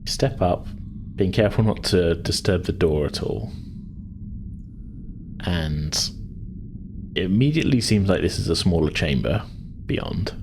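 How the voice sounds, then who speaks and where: A man's voice: low-pitched, lively intonation, unhurried, long pauses, background noise. A man speaking as game master, improvising tabletop narration and chatting casually in a hesitant way.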